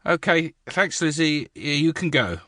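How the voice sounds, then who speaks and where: Woman doing a low-voiced, businesslike studio director. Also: expressive, measured pace, sharp tone, dry recording, exaggerated voice. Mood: polite, dismissive.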